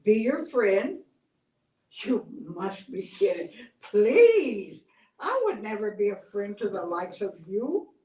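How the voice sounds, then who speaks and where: A woman voicing a haughty male crow who loudly mocks the idea of friendship. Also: mid-range voice, very animated, takes it slowly, roomy sound, recorded from a distance. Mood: scornful. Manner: loud, scornful, laughing off.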